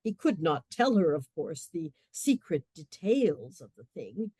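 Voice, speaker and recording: A woman narrates a radio play from a script. Her voice is mellow and moves freely up and down in pitch, recorded dry with little room sound. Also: sing-song, a little slow, slightly rough, no room sound.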